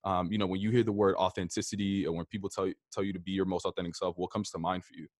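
A man's voice: deep voice, little pitch movement, racing, darkish tone, no room sound, studio quality. A man hosting a podcast in a casual, informal, conversational way. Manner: informal, addresses guest as bro and man. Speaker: podcast host.

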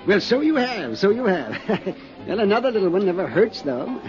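A man's voice: high voice, very animated, moderate pace. An American actor playing a tipsy, over-friendly traveling salesman who rambles and repeats himself in a 1950s radio drama. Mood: jovial, tipsy. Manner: chuckling, repetitive, hesitant, over-friendly. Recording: muffled 1950s radio recording, faint music.

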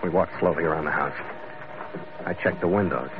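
An actor playing a tough, wry sailor and bodyguard in an old radio drama, terse and matter-of-fact. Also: male voice, low-pitched, steady tone, a little fast. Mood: grim narration. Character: tough, wry Irish-American sailor hired as bodyguard, narrating. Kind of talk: 1940s-50s radio drama acting, hard-boiled narration. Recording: dull vintage radio recording.

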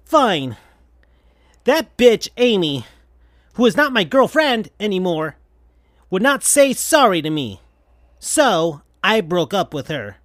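A reader voicing an angry, sulky male teen hedgehog who snaps and shouts, pitched well above their own voice. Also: very animated, slow, long pauses, no room sound, studio quality.

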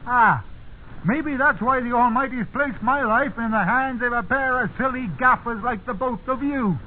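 Indignant old country farmhand, rural English dialect, high-pitched, plain-spoken; vintage radio play with faint noise. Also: male voice, normal speed. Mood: grumbling. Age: older. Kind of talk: 1950s radio drama acting. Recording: tinny, noisy 1950s radio recording.

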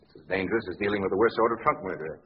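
A man's voice: sits low, very fast, big-room sound, close to an everyday voice. A male actor playing an earnest, injured doctor in an old-time radio drama. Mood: grim, urgent. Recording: muffled, noisy old radio recording.

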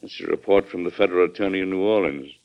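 A grave older American statesman in a classic radio drama, low in pitch and quick to indignation. The sound is dull and lo-fi, like a vintage broadcast. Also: gravelly. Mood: shocked, grave.

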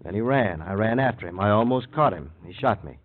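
A man's voice: fairly low pitch, lively intonation, brisk, slight background noise, natural voice. Guy doing a cagey defensive murder suspect, voice jumps around, old radio sound, phone-like. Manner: hesitant, defensive, short broken sentences. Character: evasive, aggrieved male murder suspect, feigning casualness. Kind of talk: 1950s radio crime drama acting.

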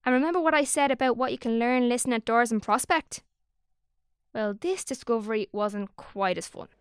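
A woman's voice: fairly high pitch, brisk, clean tone, no room sound. Wry, sweary woman narrator, close and dry. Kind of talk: scripted audio drama narration.

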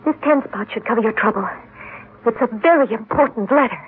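A woman's voice: fairly high pitch, brisk, natural voice. An actress playing a frightened, suspicious young woman in an old radio drama, stammering and urgent. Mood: guarded, urgent. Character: frightened, suspicious young heiress, near hysterical. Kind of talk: 1940s-50s radio drama acting. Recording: lo-fi old radio recording, faint noise.

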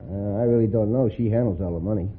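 Crackly tinny vintage radio, male contestant from Maine, lowish voice, short plain answers. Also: fast talker. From Maine, United States. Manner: plain-spoken, laconic. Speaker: quiz show contestant, shipping clerk. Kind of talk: answering a host's questions on air. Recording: tinny old radio broadcast, noisy.

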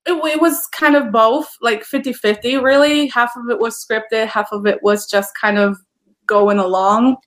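A woman, chatting as an interview guest, full of "you know" fillers and quick to laugh. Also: on the high side, fairly even intonation. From Poland. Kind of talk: casual interview chat.

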